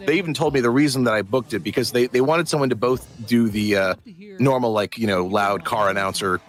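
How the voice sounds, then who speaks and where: A man's voice: mid-range voice, fairly quick. A man speaking as an interviewed voice actor in a casual, modest, rambling way. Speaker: voice actor and musician being interviewed.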